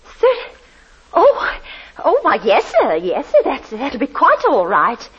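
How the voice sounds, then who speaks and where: Nervous landlady, stammering, swooping high voice, muffled old radio with faint hiss. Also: very animated, unhurried, a bit bright, breathy edge, full character voice. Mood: flustered. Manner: fluttery, stammering and anxious. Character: timid, flustered older landlady, eager to please. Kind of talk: vintage radio drama acting.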